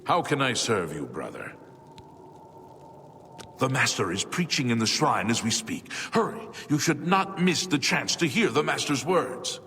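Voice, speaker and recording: An actor playing a solemn, devout cult doorkeeper in a video game, speaking in a formal, portentous manner. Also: male voice, mid-range voice, very animated, a little slow, sharp tone, soft background music, full character voice. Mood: solemn, urgent. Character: solemn male cult doorkeeper, devout servant of a dark lord. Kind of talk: video game character acting.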